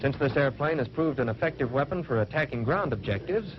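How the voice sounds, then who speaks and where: An American man playing an earnest fighter pilot trainee, narrating a 1940s training film in measured, matter-of-fact phrases, on an old film soundtrack. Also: medium pitch, lively intonation, lightly acted. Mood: earnest, composed. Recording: muffled, noisy vintage 1940s film soundtrack.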